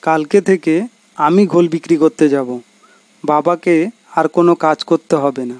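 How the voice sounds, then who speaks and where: A man's voice: fairly high pitch, steady tone, moderate pace, a little mellow, no room sound, lightly acted. A man voicing a dutiful, earnest village boy who sounds concerned for his poor family, in a cartoon.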